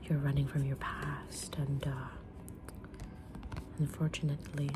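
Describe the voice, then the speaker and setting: A woman whispering softly in an ASMR roleplay as a kind, mysterious witch reading tarot, with a gentle, soothing delivery. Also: rumbling low, level tone, noisy background. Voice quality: breathy unvoiced whisper. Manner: gentle, soothing, unhurried fortune-teller delivery. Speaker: ASMR creator playing a tarot reader.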